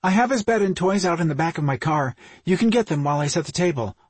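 A man's voice: fairly high pitch, animated, fast, darkish tone, husky, dry recording, pitched above the performer's own voice. A man voicing a teasing, smugly sweet older sister in an audiobook, breezy and unruffled. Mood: gushing, playful.

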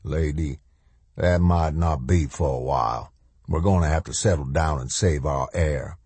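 Man playing a big blunt man, deep voice. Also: unhurried, a little mellow, slightly rough, no room sound, heavily acted. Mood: grim, calm. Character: gruff, practical giant of a man, blunt survivor.

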